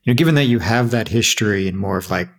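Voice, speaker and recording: A man's voice: lowish voice, fast talker. A man hosting a conversational interview, asking questions in an informal, roundabout way. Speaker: interview host.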